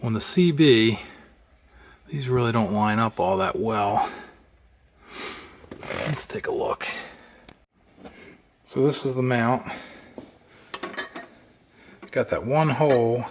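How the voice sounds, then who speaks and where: A man's voice: medium pitch, slow, pauses often. Middle-aged man thinking aloud, noisy recording. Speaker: vlogger. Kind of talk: casual how-to vlog narration. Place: car interior and indoor workbench.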